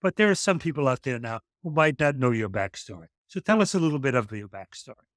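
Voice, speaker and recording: A man's voice: medium pitch, lively intonation, fast talker, dry recording, clean studio sound. A man hosting an interview, praising his guest effusively and with emphasis. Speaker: host. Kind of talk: interview chat.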